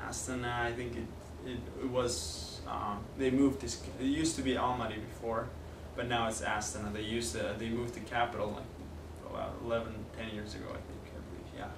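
A man's voice: mid-range voice, gravelly. A young man from Kazakhstan with a Kazakh accent, a native Kazakh speaker, talking in a casual, hesitant way with many fillers and restarts as he gives a video lesson. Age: young adult. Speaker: language teacher. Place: classroom with whiteboard, indoors. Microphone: far camera. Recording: echoey room, distant mic, noisy.

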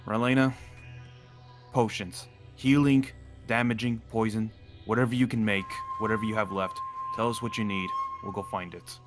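A man's voice: fairly low pitch, fairly even intonation, moderate pace, faint music behind, lightly acted. A man playing a serious, commanding werewolf pack leader in a fantasy roleplay, speaking firmly and decisively. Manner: firm, decisive, grave. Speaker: roleplay performer. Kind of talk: improvised fantasy roleplay acting.

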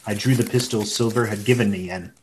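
A storyteller, played by an actor with a medium-pitched voice. The delivery is scripted first-person narration of a boyhood sea adventure, in radio play style. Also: fairly even intonation, fast, a little mellow, natural voice, rough recording.

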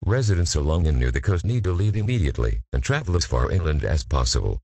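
Man, extremely deep voice, lively intonation, formal emergency alert reading. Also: fast, husky, no echo. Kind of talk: formal scripted evacuation announcement.

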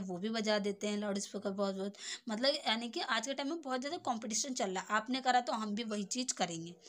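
A young adult woman giving an informal lesson, explaining step by step and often checking that the listener follows. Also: mid-range voice. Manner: explanatory, repeatedly checking understanding with tag phrases. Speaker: tutor.

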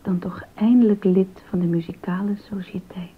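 A woman acts out a composer's loving wife in a radio docudrama. She speaks softly and intimately, often half whispering. The recording is narrow and muffled, with a faint rumble. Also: fairly even intonation, moderate pace, lightly acted. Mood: teasing, intimate. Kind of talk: Dutch radio docudrama acting.

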